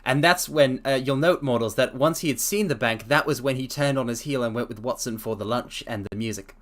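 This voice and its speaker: A man's voice: mid-range voice, brisk, fairly bright tone. A man streaming a dramatic story reading, breaking off with enthusiastic, chatty asides to viewers.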